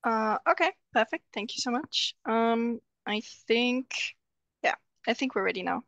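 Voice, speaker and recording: A woman in her twenties from Austria, a student presenting over a video call, pausing often with ums. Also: on the high side, lively intonation, fairly bright tone, dry recording, lo-fi sound. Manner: hesitant, many ums.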